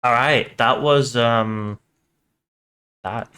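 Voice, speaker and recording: A man's voice: medium pitch, extremely slow, sharp tone, clear, resonant tone. A man doing a casual livestream reaction, reading captions aloud and commenting in a joking, irreverent, sweary way. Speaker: streamer reacting to horror videos.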